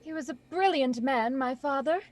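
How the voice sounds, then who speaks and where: An actress playing a delicate, grieving young heiress in a radio murder mystery. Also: female voice, high voice, moderate pace, natural voice.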